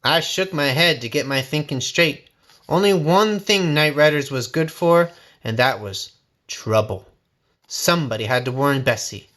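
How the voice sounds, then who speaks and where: A man reading a folksy first-person story aloud in a dramatic, expressive, suspenseful way. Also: on the high side, a little slow. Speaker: storybook reader.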